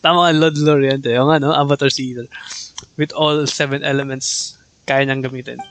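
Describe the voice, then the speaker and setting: A man's voice: medium pitch, fairly even intonation, fairly quick, fairly bright tone. A man with a Filipino accent giving casual live game commentary, chatty and switching between Tagalog and English. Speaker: game livestreamer. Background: faint game music.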